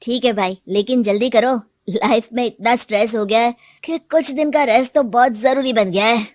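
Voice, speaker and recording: A woman's voice: fairly high pitch, moderate pace, no room sound, studio quality, natural voice. A woman voicing a casual, questioning young man in a storybook tale. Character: cautious, casual young male friend on holiday.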